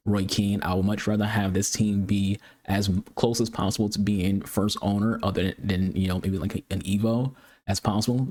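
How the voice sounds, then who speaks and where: Male gaming creator, lowish voice, rapid cluttered delivery, frequent restarts, casual review talk. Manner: fast, cluttered speech with repeated words; excitable high-pitched greeting. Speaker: gaming YouTuber. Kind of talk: casual game review commentary.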